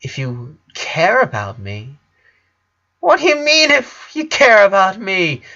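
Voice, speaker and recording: Man doing an indignant, high-pitched teenage sister. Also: sing-song, takes it slowly, bright, forceful tone, highs cut off, full character voice. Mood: hurt, indignant. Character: angry, hurt teenage sister, loud and indignant.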